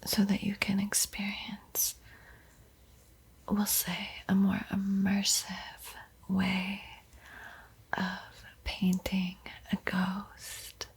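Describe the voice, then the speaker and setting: A woman playing a soothing but secretly hungry vampire art teacher in a breathy, coaxing whisper for an ASMR roleplay. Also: medium pitch, completely flat delivery, heavily acted. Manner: slow, hushed, coaxing, hesitant pauses.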